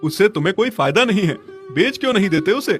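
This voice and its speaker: A man's voice: high-pitched, sing-song, quick pace, fairly bright tone, voice pushed up. A man voicing a practical, pushy neighbour who gives insistent advice in a cartoon story. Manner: insistent, advising. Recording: music behind the voice.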